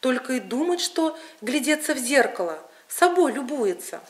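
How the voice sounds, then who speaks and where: A woman's voice: sits high, big pitch swings, normal speed. A woman voicing a reluctant young village bachelor in a folk tale, doubtful and grumbling.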